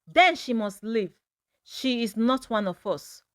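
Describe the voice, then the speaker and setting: A woman acts out a village chief in a folktale, a man of stern authority. The delivery is slow and commanding, in a dry recording. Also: on the high side, animated, no room sound. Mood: accusing.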